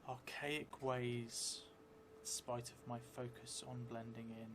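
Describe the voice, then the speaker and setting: A middle-aged man thinking aloud as he plays a solo tabletop game, halting and hesitant, often trailing off mid-thought. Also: mid-range voice, flat delivery, background noise. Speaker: solo tabletop role-player streaming a game. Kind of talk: thinking aloud while playing a journaling game. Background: music.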